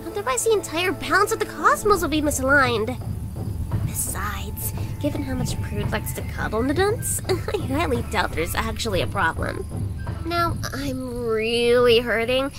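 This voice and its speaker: A woman's voice: high-pitched, big pitch swings, unhurried, music in the background, full character voice. A woman voicing a cheeky, dramatic young woman in a visual novel, exclaiming and complaining playfully. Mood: teasing, breezy. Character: bubbly, dramatic, cheeky young woman, a trainee who loves comfort. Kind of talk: visual novel character dialogue.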